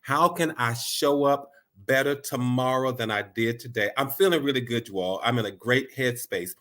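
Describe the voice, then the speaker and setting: A man with a stuffy, congested voice leads a live motivational stream at a mid pitch. He sounds upbeat and encouraging, and he leans on repeated phrases to drive his points home. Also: normal speed, sharp tone. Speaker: motivational channel host.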